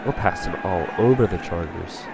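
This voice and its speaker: A man with a stuffy voice from a cold, commentating his own video game play and getting excited on big plays. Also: sits low, moderate pace, vocal fry, heavy background noise. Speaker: gaming channel host.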